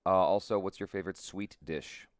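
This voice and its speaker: A man's voice: deep voice, steady tone, moderate pace, smooth, no room sound. A man hosting a casual live interview, putting questions to his guest in a conversational way. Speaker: show host.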